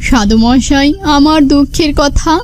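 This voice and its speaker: A woman's voice: high voice, a little slow, a little hiss or hum. A woman voicing a grieving young wife under a curse in a cartoon, plaintive and lamenting. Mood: sorrowful, pleading. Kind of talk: cartoon character acting.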